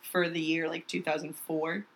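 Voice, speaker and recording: A young woman co-hosting a podcast in casual chat, her voice a little raspy, laughing often and joking. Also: low-pitched, flat delivery, normal speed, a little hiss or hum. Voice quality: slightly raspy today. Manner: bubbly, laughs often, jokey asides. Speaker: podcast co-host.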